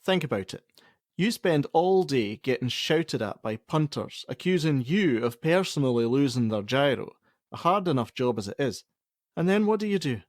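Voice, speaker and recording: A prickly Scottish father in middle age as a man acts him in a radio play, blunt and quick to argue. His sarcasm is stern, in an ordinary mid-pitched voice recorded dry. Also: expressive, no room sound, close to an everyday voice. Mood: teasing, scornful. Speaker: actor.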